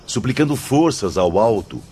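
An actor playing an anguished, earnest spirit of a repentant gambler in a radio drama. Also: male voice, medium pitch, expressive, normal speed, darkish tone, slight background noise, lightly acted. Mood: earnest, pleading.

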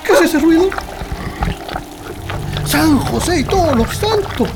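A man's voice: very high-pitched, animated, takes it slowly, music in the background, heavily acted. An actor playing a frightened but defiant rural father in a radio drama, with a Chilean accent and an urgent, commanding manner. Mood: angry, defiant. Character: frightened, defiant rural father guarding his family with a shotgun.

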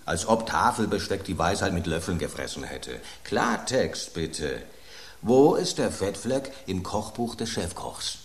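A cranky loaf of bread in a radio play, gruff and blunt, sneering at anything fancy. The voice sits very low and deep, and the recording has a roomy, echoey sound. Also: male voice, animated, unhurried. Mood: grumbling. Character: grumpy male loaf of house bread, blunt and scornful. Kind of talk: radio drama acting. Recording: roomy studio echo.

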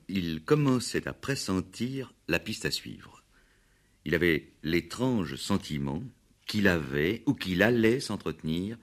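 A man narrating a scripted radio police drama as its storyteller. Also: low-pitched.

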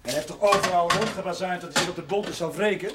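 Actress doing no-nonsense outspoken woman, probing and matter of fact, old tv drama, loud background noise n dishes. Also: fairly low pitch, quick pace, close to an everyday voice. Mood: suspicious, insistent. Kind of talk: naturalistic television drama acting. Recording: very noisy TV soundtrack, clattering crockery.

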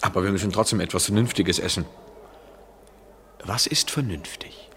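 An actor playing a restless, wry man travelling abroad, measured and poetic, in a noisy 1970s radio play recording. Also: sits low, fairly bright tone. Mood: musing. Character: restless, wry European traveller, aloof yet attracted man.

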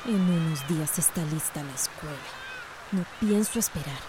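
A woman's voice: sits low, normal speed, loud music behind the voice, close to an everyday voice. A woman acting an earnest, impatient schoolteacher in a radio drama. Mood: exasperated, firm. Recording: faint background noise.

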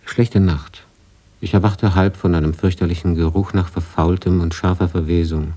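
A man's voice: sits low, fairly even intonation, moderate pace, mellow, husky. An actor playing a brooding writer who reads his old travel diary in terse, reflective fragments in a radio play. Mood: brooding, uneasy. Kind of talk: radio drama diary reading.

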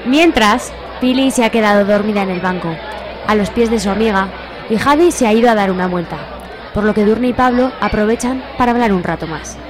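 A woman's voice: medium pitch, a little fast, a crowd in the background. A woman with an accent from Spain giving scripted narration for a radio drama.

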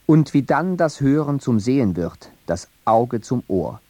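A man narrating a scripted radio essay in a measured, reflective and earnest way. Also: mid-range voice, very animated, dark tone, no room sound.

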